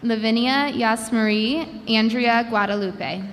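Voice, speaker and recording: A woman reading graduates' names one by one at a commencement ceremony, announcing each name with a measured pause through a podium microphone. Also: on the high side. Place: large indoor gymnasium. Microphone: podium microphone over a PA system. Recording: long hall reverb with crowd noise. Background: crowd ambience.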